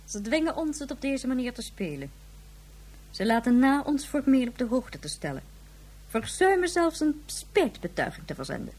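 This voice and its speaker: A woman's voice: sits high, very animated, moderate pace, darkish tone, husky, exaggerated voice. An actress playing a stern female head of government in a radio drama, speaking in a formal, commanding way. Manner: formal, commanding, clipped officialese.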